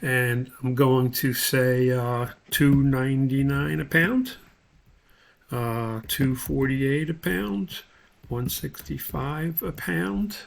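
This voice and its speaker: A man giving an unscripted step-by-step software tutorial, talking haltingly as he thinks aloud while he works. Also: medium pitch, creaky.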